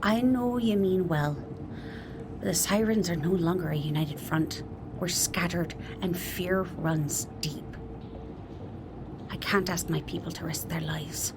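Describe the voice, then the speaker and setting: A woman playing a grieving, stern leader of the Sirens in a tabletop roleplay, speaking in a measured, grave way. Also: on the low side, noisy background. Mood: weary.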